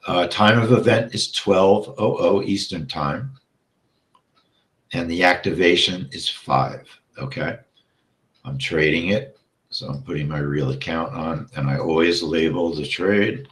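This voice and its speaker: A man teaching a live trading session, explaining the setup step by step and checking in with "okay?", his voice going hoarse as he clears his throat. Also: low voice, measured pace, fairly bright tone, roomy sound. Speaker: trading instructor.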